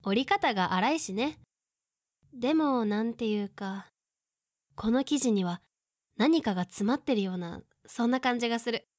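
女性が演じる表情豊かな三十代の女. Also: female voice, on the high side, expressive, normal speed, no room sound, clean studio sound, close to an everyday voice. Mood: teasing, perceptive. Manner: casual, teasing. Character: teasing, perceptive woman of thirty-five, putting on cheer. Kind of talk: audio drama dialogue.

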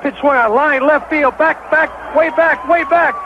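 A high-voiced American man calls a baseball game in 1970s radio style. He is an excitable, openly partisan play-by-play announcer. The old broadcast recording sounds dull, with clear ballpark noise behind him. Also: measured pace, crowd noise. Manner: excitable, partisan home-team caller. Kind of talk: 1970s live radio sports commentary.

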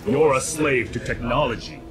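Man playing a scornful warrior, mid-pitched. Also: moderate pace, music in the background, lightly acted. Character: scornful, self-assured male warrior. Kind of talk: video game fighting dialogue.

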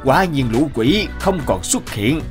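A man telling a cartoon folk tale as a scripted narrator with an even storytelling delivery. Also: mid-range voice, slow pace, over music.

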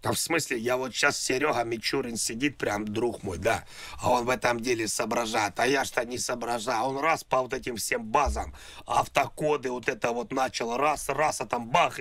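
A man on a prank call, talking in a halting, repetitive way like a naive, confused customer. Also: medium pitch, fairly bright tone, no room sound. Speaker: prank caller. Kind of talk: prank phone call, rambling improvised talk.

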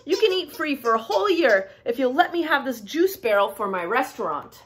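Casting: giggly storybook frog waitress voiced by a woman, chirpy, very high and animated. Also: brisk, slight background noise. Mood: bargaining. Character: cheerful, giggly female frog running a restaurant.

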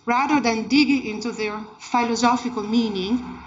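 A woman speaks at a lectern with a fairly high voice, telling her story as an academic lecture. Her delivery is emphatic and passionate, leaning on key words. The recording from the seminar room is slightly muffled and lacking in detail. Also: normal speed, a little hiss or hum. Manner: emphatic, dramatic, impassioned delivery. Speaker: keynote speaker, academic researcher.

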